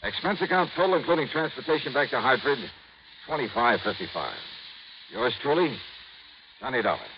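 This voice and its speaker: A wry hard-boiled investigator. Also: male voice, medium pitch, big pitch swings, fairly quick. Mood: dry. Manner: wry, clipped, streetwise. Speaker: lead character and narrator. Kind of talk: 1950s radio drama acting. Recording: hissy lo-fi old radio broadcast.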